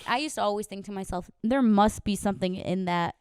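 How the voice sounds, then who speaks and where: Bubbly chatty young woman, smooth voice. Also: medium pitch, a little fast, a little mellow, no room sound, studio quality. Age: 20s. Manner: bubbly, chatty, many "like" fillers. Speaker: podcast co-host. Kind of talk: casual chat.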